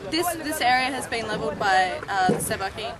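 A woman's voice: on the high side, animated, fairly quick, heavy background noise. A woman archaeologist eagerly explaining an excavation on site, talking in a chatty way that often restarts phrases.

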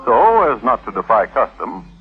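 A man's voice: medium pitch, sing-song, moderate pace, natural voice. A man giving dry, droll, formal scripted narration for a 1950s radio program. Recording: tinny 1950s radio recording, music behind.

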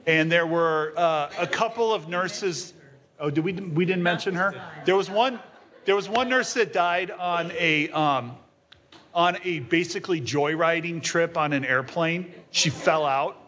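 A man's voice: a little high, unhurried, sharp tone. A man speaking on a panel in an auditorium, giving a talk and answering audience questions with frequent ums and restarts. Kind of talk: lecture and audience Q&A. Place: auditorium stage panel table. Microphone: table microphone.

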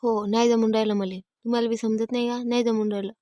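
A woman's voice: a little high, flat delivery, quick pace, dark tone, no room sound, studio quality, natural voice. A woman voicing a fed-up, stubborn village daughter-in-law in a cartoon comedy, defiant and insistent, with a rural Maharashtrian accent. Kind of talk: animated family comedy acting.

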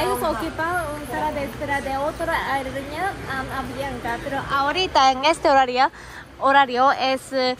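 Japanese woman vlogging in halting Spanish. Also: high voice. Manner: halting learner's Spanish, frequent fillers. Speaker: travel vlogger. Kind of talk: casual travel vlog narration. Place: airport. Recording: noisy airport background.

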